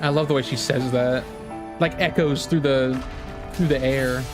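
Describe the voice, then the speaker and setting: A man giving casual, excitable, rambling reaction commentary on a video game. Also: mid-range voice, measured pace. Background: music from the game trailer.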